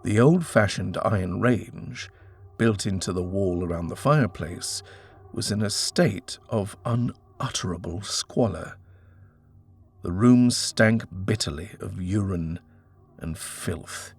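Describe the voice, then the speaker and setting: A man's voice: on the low side, slow, breathy edge. A man narrating a scripted horror story as an audiobook reader.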